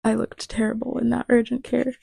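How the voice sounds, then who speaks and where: A woman's voice: on the high side, little pitch movement, fairly quick, vocal fry, warm tone, slightly rough, no room sound. Young woman with a lingering cough. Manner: hesitant, searching for words, trailing off. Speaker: podcast co-host. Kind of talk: casual chat.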